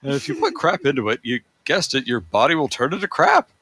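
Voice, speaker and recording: A man's voice: medium pitch, huge pitch swings, fairly quick, vocal fry, pushed and bright, slightly rough, dry recording. A man reading amateur writing aloud for comedy, with an earnest, casual delivery. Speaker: podcast reader.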